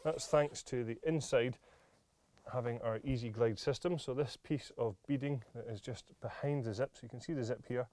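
A man's voice: mid-range voice, moderate pace, a little mellow, no room sound. A man presenting a product demonstration in a studio, explaining features as he handles it. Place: indoor studio set with camping display.

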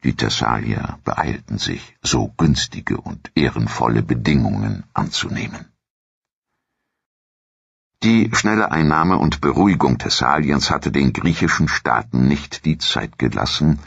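A man narrating a scripted history documentary audiobook in a measured, formal reading manner. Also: a bass voice, creaky, fairly bright tone, husky, no echo, muffled recording. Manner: measured, formal read delivery.

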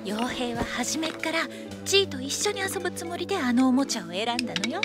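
A woman playing a gentle, caring young mother in a children's cartoon, soft-spoken and motherly. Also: high voice, animated, fairly quick. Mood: tender. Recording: loud background music.

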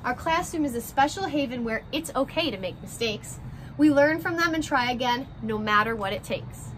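A woman's voice: high voice, expressive, normal speed, slight background noise. A woman in her twenties, a first grade teacher, talking and reading aloud expressively to young children, recorded outdoors on a porch. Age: 20s. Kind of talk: children's read-aloud and direct address to young pupils. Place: outdoors on a porch by glass doors.

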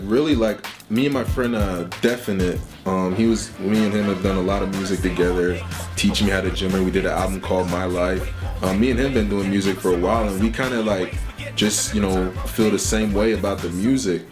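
Easygoing young man, low voice. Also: brisk, over music. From Liberia. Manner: relaxed, frequent "you know" fillers. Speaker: rapper and label owner. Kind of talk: casual sit-down interview. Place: small home studio indoors.

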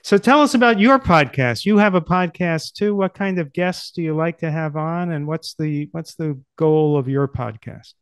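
An older man hosting a casual interview in a jokey, halting conversational way, close on a desk microphone. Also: fairly high pitch, smooth, dry recording. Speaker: podcast host, interviewer. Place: indoor book-lined home office, video call.

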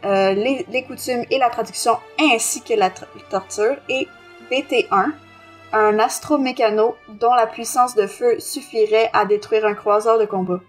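A woman's voice: a little high, steady tone, moderate pace, faint music behind. A woman co-hosting a podcast, reading a blurb aloud carefully with small stumbles. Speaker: podcast co-host.

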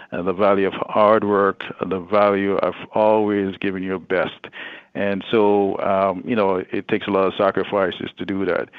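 A man in his forties from Jamaica, a guest speaking earnestly with many pauses and fillers. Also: fairly low pitch, clean tone, no room sound, narrow, tinny audio. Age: 40s. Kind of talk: casual interview.

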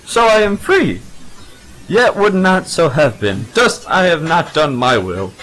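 A man's voice: a little high, sing-song, measured pace, fairly bright tone, poor audio. A man playing an anxious, obedient bondman in an old-time radio Shakespeare drama. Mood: sorrowful.

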